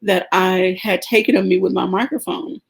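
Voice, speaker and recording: A woman hosting a podcast, talking sincerely and thoughtfully about her purpose, with pauses and "um" fillers. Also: fairly low pitch, steady tone, vocal fry, breathy edge. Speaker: podcast host. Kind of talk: casual podcast conversation.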